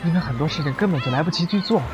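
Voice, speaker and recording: A man's voice: fairly high pitch, expressive, very fast, lo-fi sound, lightly acted. An actor playing a bewildered lawyer in his thirties, a stranger in another world, earnest and hesitant in a radio drama. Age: 30s. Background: background music.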